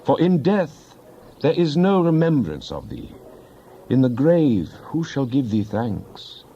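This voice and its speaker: A man's voice: medium pitch, big pitch swings, slow, warm tone, breathy edge, lo-fi sound, heavily acted. A man playing a fervent psalmist in a dramatized scripture reading, pleading with God in solemn, impassioned, declamatory tones and archaic diction. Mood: anguished, pleading.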